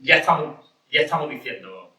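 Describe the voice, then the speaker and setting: A man's voice: on the high side, lively intonation, fairly quick, sharp tone. A man from Cádiz speaking on a panel, rambling with many restarts and rhetorical questions. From Cádiz, Spain. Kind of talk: panel discussion. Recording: echoey room from a distance.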